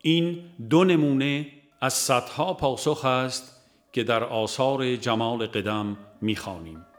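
Man narrating a religious book over music. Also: mid-range voice. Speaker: audiobook narrator.

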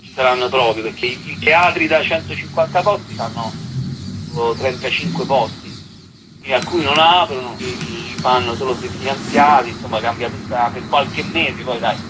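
A man's voice: mid-range voice, normal speed, background noise. A man being interviewed casually as a writer and stage actor, hesitant and often repeating words as he thinks.

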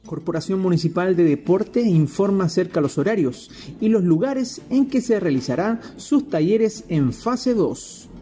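A woman reads a scripted report. Her voice is dark and soft-edged, with animated, swinging intonation. Music plays lightly behind her. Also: on the low side, a little slow, hardly any pauses. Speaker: narrator of a recorded report. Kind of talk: scripted informational narration. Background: faint music.

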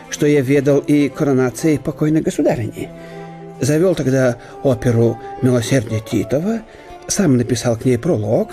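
An actor playing a fussy, obsequious older German professor at court in a radio play, hesitating with fillers. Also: male voice, on the high side, dark tone.

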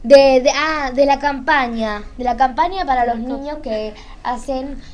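A young woman with a Rioplatense accent and a high voice chats casually on the radio. She halts as she searches for words, with faint noise in the background. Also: unhurried. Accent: Rioplatense Argentine. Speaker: radio show presenter.

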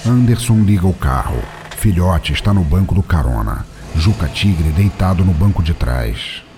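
A man's voice: deep voice, lively intonation, moderate pace, background noise. A Brazilian man delivering dramatic scripted narration for an audio drama. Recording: narration over loud background music.

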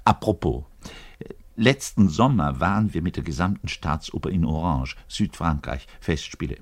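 Low-voiced self-pitying male double bassist, radio drama monologue, theatrical and embittered, confiding in the listener. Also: lively intonation, normal speed. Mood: scornful, declaiming. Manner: grandiloquent, swinging between outbursts and muttering. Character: lonely, bitter, self-pitying orchestral double bass player, man.

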